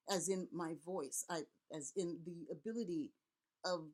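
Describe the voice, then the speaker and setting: A middle-aged woman from Haiti, a Vodou priest and elder, giving an earnest, rallying spoken address with repeated words and long lists. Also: fairly low pitch. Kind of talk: impassioned spoken address to camera.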